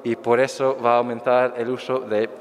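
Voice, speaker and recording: A man's voice: medium pitch, little pitch movement, moderate pace. A man answering audience questions after his lecture, hesitant, with many fillers and restarts. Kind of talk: academic talk. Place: lecture hall with an altar. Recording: echoey hall.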